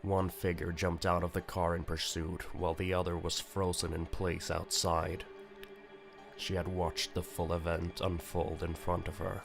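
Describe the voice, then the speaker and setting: Slow, low-pitched man narrating a grim story. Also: little pitch movement, creaky, music in the background. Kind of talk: dramatic scripted narration of a visual novel.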